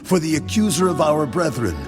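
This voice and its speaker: A man voicing a loud heavenly voice in a dramatized scripture reading, proclaiming solemnly in drawn-out, declamatory phrases over music. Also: a little high, lively intonation, natural voice. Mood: triumphant. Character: loud triumphant heavenly voice proclaiming victory and warning woe.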